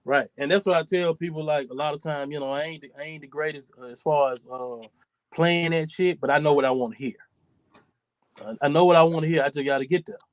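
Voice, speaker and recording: A man's voice: on the high side, fast, no room sound. A man in his forties hosting a podcast, talking in a loose, profane, rambling way. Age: 40s. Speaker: podcast host. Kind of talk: casual chat.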